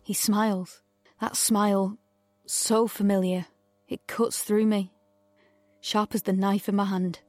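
A woman's voice: medium pitch, little pitch movement, takes it slowly, darkish tone, dry recording, soft background music, close to an everyday voice. Actress doing young woman on the run, defiant and guarded, audio drama, natural midrange voice. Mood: tense, shaken. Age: young adult. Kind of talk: audio drama acting with intimate first-person narration.

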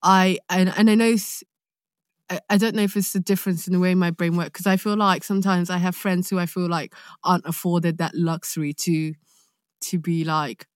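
A woman's voice: on the low side, level tone, normal speed, no room sound, studio quality. A young woman with a London accent chatting casually and expressively, telling stories in a candid, slangy way. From London, England. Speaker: podcast guest, actor. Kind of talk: casual chat, animated storytelling.

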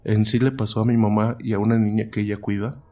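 A man's voice: lowish voice, flat delivery, fast talker, mellow. A man hosting and narrating a show of listeners' stories, reading them aloud in a storytelling style.

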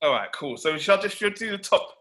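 A man's voice: high-pitched, sing-song, quick pace, bright, forceful tone. A man co-hosting a podcast with playful, boisterous slang. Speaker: podcast co-host. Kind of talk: casual banter.

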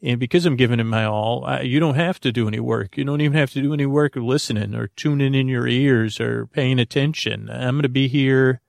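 Creaky-voiced man rambling gently off on tangents. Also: mid-range voice, dry recording, studio quality. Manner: meandering, halting, full of tangents and false starts; goofy, whimsical. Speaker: podcast host. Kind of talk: rambling sleep podcast intro.

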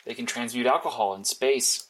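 A blunt, analytical vigilante mastermind, played by a man in an audio drama. He speaks at a middling pitch in a clipped, matter-of-fact way, insistent as he lays things out. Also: normal speed, slight background noise, natural voice. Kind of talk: naturalistic audio drama dialogue.

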